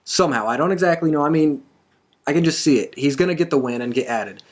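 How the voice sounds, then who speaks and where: An upbeat host runs the casual talk from a couch, rambling a little before landing the point. the voice is mid-pitched, with quick back-and-forth banter. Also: expressive. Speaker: podcast host. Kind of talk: casual chat. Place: indoors on a couch.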